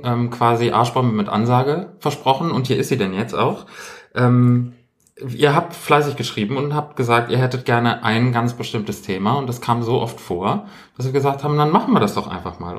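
Man, podcast co-host, playful, teasing, halting fillers, medium pitch, roomy echo. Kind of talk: casual chat.

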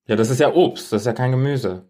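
A man's voice: mid-range voice, quick pace, warm tone. A man co-hosting a casual podcast, chatting in a teasing, playfully blunt way. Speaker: podcast co-host. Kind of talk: casual banter chat.

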